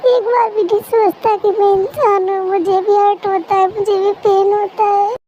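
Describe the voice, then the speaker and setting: A woman's voice: extremely high pitch, little pitch movement, normal speed, dark tone, highs cut off, slight background noise. A woman voicing an upset young wife who complains in a whiny, melodramatic way, in an amateur fan dub. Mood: tearful.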